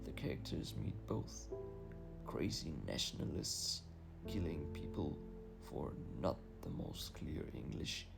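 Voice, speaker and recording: Deep gravelly guy narrating a movie review, music playing under him. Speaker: film reviewer. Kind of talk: scripted voice-over narration.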